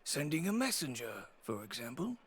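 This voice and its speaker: A man voicing a measured, wry, cautious supplier in an anime game, speaking formally and deliberately. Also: fairly high pitch, big pitch swings, a bit bright, husky, heavily acted.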